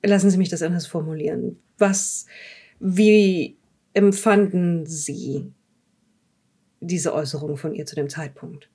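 A woman playing a curious, measured interviewer who examines a dead man's journal in an improvised audio drama. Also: on the low side, mellow, breathy edge. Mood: probing.

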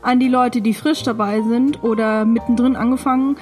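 A woman with a fairly high voice co-hosts a podcast. She speaks in casual, bantering chat, with music behind her. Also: fairly even intonation, moderate pace, a little mellow. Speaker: podcast co-host.

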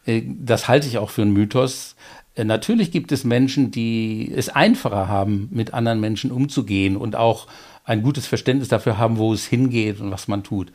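A middle-aged man, a leadership coach as interview guest, explaining in a measured way with anecdotes and the odd filler. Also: medium pitch, a little mellow. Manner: tells personal anecdotes to make points. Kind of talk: interview conversation.

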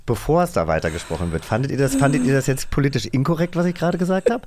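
A man's voice: mid-range voice, expressive, rapid-fire, a little mellow, slight background noise. A man hosting a podcast quiz in a playful, teasing chat style. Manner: joking, teasing. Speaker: podcast host.

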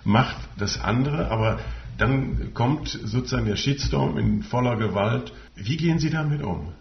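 A man's voice: mid-range voice, lively intonation, moderate pace, gravelly, highs cut off, slight background noise. Roomy-sounding male podcast host. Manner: long, winding questions with fillers. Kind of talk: interview questions.

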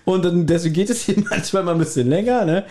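A man's voice: high voice, fast, darkish tone, a little hiss or hum. A man hosting a podcast, giving a casual recap partly read from his notes, with playful irony. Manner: playfully ironic. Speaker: podcast host.

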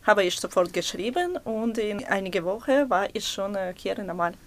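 A Ukrainian journalist with a Ukrainian accent, speaking halting German as a non-native speaker, with many hesitations and fillers. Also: female voice, mid-range voice, animated. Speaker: guest journalist. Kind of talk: interview answers.

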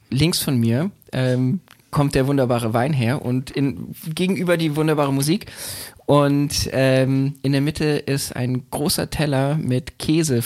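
A man, a guest DJ chatting in a relaxed way with lots of fillers and restarts. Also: mid-range voice. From Berlin, Germany. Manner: hesitant, many fillers and restarts, laughs easily. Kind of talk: relaxed conversational podcast chat.

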